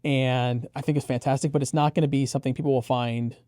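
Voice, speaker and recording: Mellow-voiced man explaining product specs. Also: mid-range voice, fairly even intonation, quick pace, no room sound, clean studio sound. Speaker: headphone reviewer co-hosting a product preview. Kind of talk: conversational technical product review.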